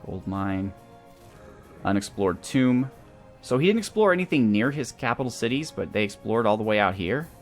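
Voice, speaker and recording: A man's voice: fairly low pitch, animated, normal speed, faint music behind. A man giving casual gameplay commentary as a let's play host, thinking aloud through his moves.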